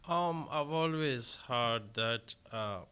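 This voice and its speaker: A young man from Kenya with a Kenyan accent, a deacon answering interview questions in a halting way with many fillers and restarts. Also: mid-range voice, sharp tone, smooth.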